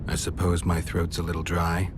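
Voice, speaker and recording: An actor playing a stoic, world-weary monster hunter in a video game, terse and deadpan with a gravelly voice. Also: male voice, sits low, moderate pace, background noise, natural voice. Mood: brooding.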